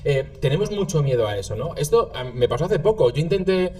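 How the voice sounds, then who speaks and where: A man hosting an interview podcast, talking in a quick, conversational way with frequent tag questions. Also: fairly high pitch, very animated, dark tone. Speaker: podcast host. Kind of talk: casual interview chat.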